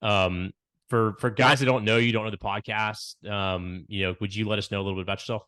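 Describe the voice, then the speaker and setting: A man's voice: on the low side, steady tone, fairly quick, a bit bright. A man hosting a podcast, talking in a friendly, upbeat, bro-ish way. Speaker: podcast host. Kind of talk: casual conversation.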